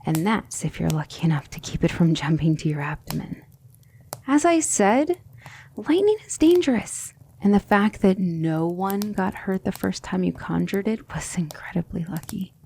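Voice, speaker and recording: A woman playing a gentle, patient demon tutor in an ASMR roleplay, speaking in measured, formal instruction that often drops toward a whisper. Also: medium pitch, gravelly, a little hiss or hum. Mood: cautioning, patient. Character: gentle, patient demon tutor guiding a magic student, female.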